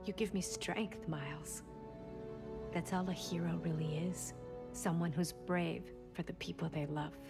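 A woman's voice: fairly low pitch, steady tone, a little slow, gravelly. A loving, worried mother. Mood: tender. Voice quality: mixes in Spanish phrases, earnest. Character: protective loving mother of a teen hero. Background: music.

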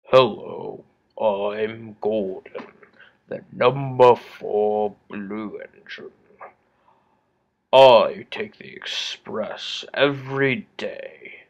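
A man voicing a big, proud blue steam engine for a cartoon, booming and boastful in a commanding way. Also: extremely slow. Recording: dull lo-fi home recording.

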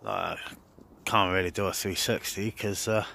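A man's voice: on the low side, steady tone, moderate pace, pushed and bright, slight background noise. A man chatting casually as a radio hobbyist recording a vlog outdoors on a handheld device. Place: outdoors at night on a hillside. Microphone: handheld camera or phone.

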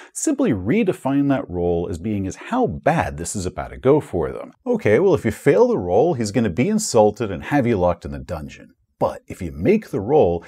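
A man in his forties explaining things to camera as an instructor, with an emphatic, animated delivery and a slightly husky voice, on a lapel mic in a room at home. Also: mid-range voice. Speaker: host giving game-mastering advice. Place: small furnished room at home.

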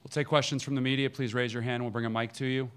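A man moderating a press conference with brisk procedural announcements. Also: medium pitch, flat delivery, fairly bright tone, clean tone.

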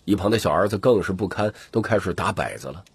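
A man's voice: deep voice, animated, fast talker, husky, no echo. A man reading dramatic scripted fantasy narration as an audiobook narrator.

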